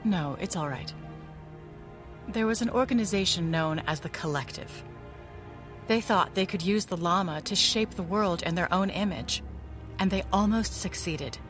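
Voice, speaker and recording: A woman's voice: lowish voice, normal speed, fairly bright tone, close to an everyday voice. Actress as a wistful, guarded woman crimefighter. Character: wistful, guarded veteran woman ally of a pulp vigilante. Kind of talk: pulp audio drama acting. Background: music.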